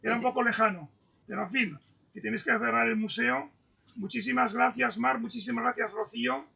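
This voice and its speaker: A man moderates an online talk over a dull, lo-fi video call. His voice sits high and heavily gravelly, with a bright, tense edge. He halts and repeats words as he reads out questions. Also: fairly even intonation. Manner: halting, stumbles and repeats words. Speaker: moderator reading audience questions.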